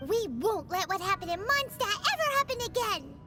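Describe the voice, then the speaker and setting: A woman voicing a tiny, excitable, childlike fairy companion in a video game, squeaky, cutesy and dramatic. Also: normal speed. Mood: suspicious, defiant. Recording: loud music under the voice.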